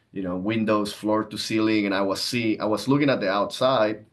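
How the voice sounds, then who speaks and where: Man, thirties, mid-pitched voice, conversational storytelling, podcast guest. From Venezuela. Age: 30s. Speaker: podcast guest, entrepreneur and coach. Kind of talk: conversational personal storytelling and explanation.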